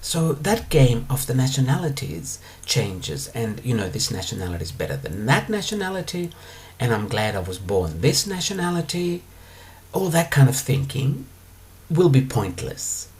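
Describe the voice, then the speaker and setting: Rich: A man lectures on his spiritual ideas in a solo monologue, calm and explanatory. His pitch rises and falls in a lively way, and he lingers on words before moving on. He sits at a middle pitch for a man. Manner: calm, explanatory, with drawn-out pauses. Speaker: spiritual teacher answering questions.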